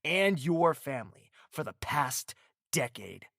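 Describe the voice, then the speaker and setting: A man's voice: on the high side, very animated, creaky, fairly bright tone, dry recording, full character voice. Man acting a proud, imperious young-sounding prince, close and dry. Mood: cold, commanding. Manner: imperious, deliberate, clipped. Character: proud, domineering prince with a guarded soft side. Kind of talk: audio drama acting.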